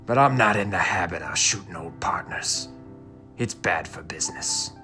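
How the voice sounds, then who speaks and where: An actor playing a menacing, exasperated gang enforcer, streetwise and profane, in an audio drama. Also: male voice, sits low, lively intonation, normal speed, sharp tone, faint music behind. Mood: coolly threatening. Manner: threatening, persuasive, streetwise.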